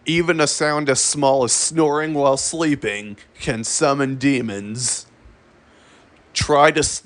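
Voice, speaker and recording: A man narrating a scripted emergency broadcast in a formal, solemn and deliberate manner. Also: mid-range voice. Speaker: emergency alert announcer.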